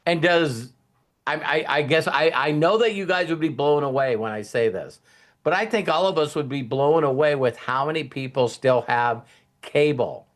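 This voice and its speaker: A man with a somewhat high-pitched voice talks casually as a motivational host. He rambles along in a folksy way, leaning on anecdotes and plain language. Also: moderate pace. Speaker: host of a motivational team talk.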